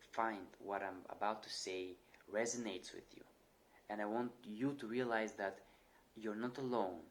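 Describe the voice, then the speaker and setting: A young man talking earnestly to camera, sharing his own experience, with small repetitions and restarts in his speech. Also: fairly low pitch. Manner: earnest, reflective, with occasional stutter repetitions. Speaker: channel host. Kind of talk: personal talk to camera.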